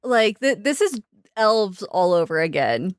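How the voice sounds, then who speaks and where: A woman's voice: medium pitch, very animated, measured pace, clean tone, dry recording, studio quality. A woman co-hosting a podcast in casual, conversational chat. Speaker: podcast co-host.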